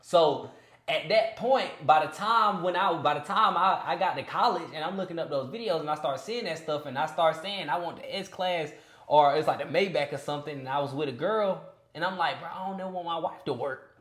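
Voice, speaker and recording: Man, 20s, casual podcast chat, slightly high voice, earnest slangy delivery, echoey room. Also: fairly quick, fairly bright tone. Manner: loose and earnest, peppered with "you feel me" and "bro". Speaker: podcast speaker.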